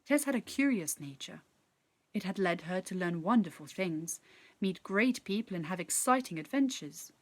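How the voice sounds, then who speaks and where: A woman narrating a children's story in an expressive, measured, dramatic storytelling style. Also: fairly low pitch, no room sound. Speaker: actor reading a story.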